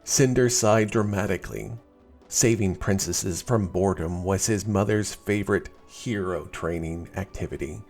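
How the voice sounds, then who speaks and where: A man reading a scripted children's story as narrator, in an expressive storytelling style. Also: low-pitched, moderate pace, soft background music.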